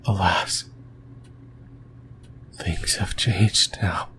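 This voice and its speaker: A man playing a possessive, lonely sleep paralysis demon in a creepy ASMR roleplay, whispering breathily in slow, halting phrases. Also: sits low, fairly even intonation, bright, forceful tone, a little hiss or hum, exaggerated voice. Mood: desolate, yearning. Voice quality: breathy, mostly voiceless whisper. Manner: slow, deliberate, halting phrases with long pauses.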